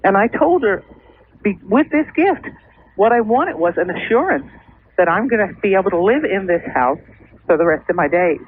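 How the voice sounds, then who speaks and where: Animated older American woman on phone. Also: medium pitch, moderate pace. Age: older, around 60. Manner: chatty, outspoken, playful. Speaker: radio show caller. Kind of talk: casual phone chat. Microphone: telephone. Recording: thin phone line, faint noise.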